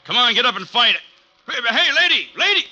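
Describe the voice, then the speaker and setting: An actor playing a burly, brash street tough in a 1940s radio drama, loud and swaggering. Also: male voice, very high-pitched, very animated, fairly quick, lo-fi sound, heavily acted.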